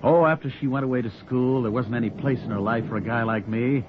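A man's voice: mid-range voice, quick pace, natural voice. An actor playing an earnest, modest small-town young man in a 1940s radio drama. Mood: wistful. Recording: noisy, tinny 1940s radio recording.

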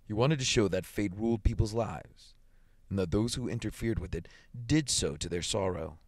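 A man voicing a grave, gruff middle-aged soldier in a story, with a quiet, solemn, dogged manner. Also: on the low side, moderate pace, no echo, clean studio sound, close to an everyday voice.

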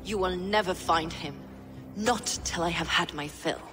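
An actress playing a smug, fanatical villain in a video game, mocking and theatrical with false gentility. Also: female voice, fairly low pitch, unhurried, long reverb tail, heavy background noise, natural voice. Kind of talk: dramatic video game acting.

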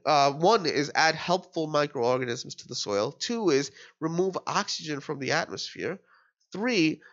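A young man walks through a test question at his desk in a loose, thinking-out-loud way, restarting his sentences. His voice sits a bit high and sounds slightly nasal and stuffy from allergies. The recording is somewhat dull and lo-fi. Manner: casual, thinks aloud with restarts and fillers. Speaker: tutor. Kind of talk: screen-recorded exam walkthrough.

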